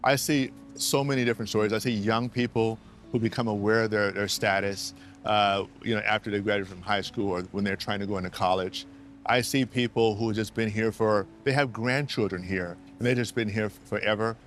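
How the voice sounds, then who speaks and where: A man's voice: fairly low pitch, fairly even intonation, a little fast, a bit bright. A man working as an immigration attorney, answering interview questions in an earnest, explanatory way and repeating key phrases for emphasis, recorded at a law office.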